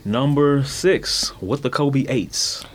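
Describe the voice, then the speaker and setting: A man's voice: mid-range voice, takes it slowly. A man chatting casually on a podcast panel in short remarks, often repeating himself. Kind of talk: casual chat, short interjections. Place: indoor podcast studio.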